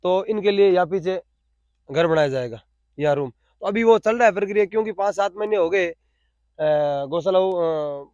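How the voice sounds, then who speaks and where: A man's voice: sits high, normal speed, darkish tone, no room sound, dull audio. A man with a Rajasthani accent explaining things in spontaneous interview answers, repeating phrases for emphasis. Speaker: interviewee, cow shelter volunteer.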